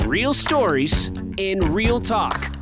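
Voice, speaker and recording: A woman reading a scripted show intro in a lively way. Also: medium pitch, slow pace. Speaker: show announcer. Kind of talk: scripted intro over music. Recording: voice over loud music.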